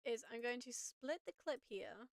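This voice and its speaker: Slightly raspy woman talking through edits. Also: fairly high pitch, normal speed, dry recording, clean studio sound. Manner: chatty, thinking aloud while editing. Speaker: streamer teaching audio editing. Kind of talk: casual live-stream tutorial.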